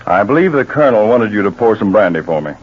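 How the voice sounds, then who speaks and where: An actor playing a firm, methodical counterespionage agent, grave and deliberate, in 1940s radio drama. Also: male voice, fairly low pitch, very animated, a little hiss or hum. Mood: grim resolve. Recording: dull 1940s radio recording.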